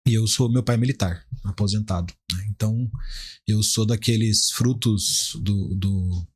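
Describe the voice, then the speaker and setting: A man's voice: on the low side, fairly even intonation, a little slow, breathy edge. A Brazilian man in his forties giving conversational, explanatory interview answers as a podcast guest, often restarting his phrases. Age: 40s. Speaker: podcast guest, criminal defence lawyer.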